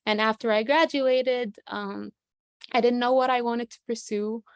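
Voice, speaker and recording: A young woman raised in Egypt, a classical singer being interviewed, answering in a relaxed way with many hesitations. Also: fairly high pitch, a little slow, smooth, dry recording, clean studio sound. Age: young adult.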